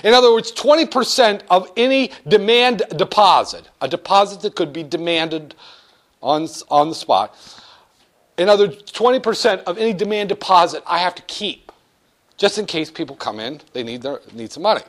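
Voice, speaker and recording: Male econ lecturer, high and very animated delivery, jokey, acts out examples. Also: normal speed. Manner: playful, acts out banker-customer dialogues with jokes. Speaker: economics lecturer. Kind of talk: classroom lecture.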